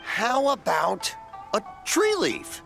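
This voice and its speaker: A man's voice: high voice, huge pitch swings, slow, creaky, sharp tone, soft background music, heavily acted. A man voicing a friendly, generous, folksy festival mask vendor in a video game, with a jovial manner. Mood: friendly, teasing. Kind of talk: video game character dialogue.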